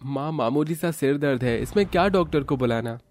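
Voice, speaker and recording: A man playing a matter-of-fact married son in a cartoon family story, speaking in a plain, low-key way. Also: lively intonation, a little fast, no echo, close to an everyday voice. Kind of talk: cartoon moral story acting.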